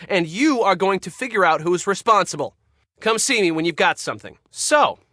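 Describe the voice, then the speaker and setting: An image-obsessed, irritable resort manager for a video game. His voice sits fairly high and cuts through with a bright, tense edge. He is bossy and sarcastic, recorded dry with little room sound. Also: no room sound. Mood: frantic, furious, then demanding, indignant. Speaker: game voice actor. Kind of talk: scripted video game dialogue.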